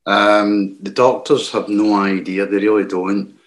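A veteran speaks on a panel in a lowish voice. He is earnest and halting, restarting his sentences as he finds his words. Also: little pitch movement.

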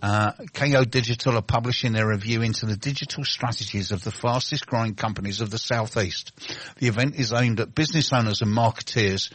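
A man's voice: on the low side, brisk, fairly bright tone. A man hosting a local business radio show, reading event listings and trading dry, teasing banter with his co-host. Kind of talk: casual radio chat and event announcements.

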